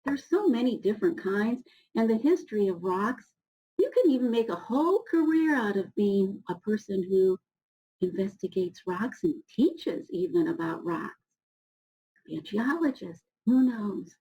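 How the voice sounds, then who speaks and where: A woman's voice: mid-range voice, lively intonation, unhurried, slightly rough, highs cut off. An American woman reading a picture book aloud to young students, chatting to them, explaining words and asking questions as she goes. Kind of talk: children's read-aloud with chatty asides.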